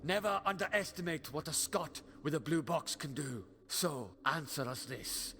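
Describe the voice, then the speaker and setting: A man playing a righteous, sharp-tongued alien time traveller in an audio drama, commanding and sardonic. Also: a little high, moderate pace, creaky, heavy background noise. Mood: stern, threatening. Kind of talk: fan audio drama acting. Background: loud dramatic music.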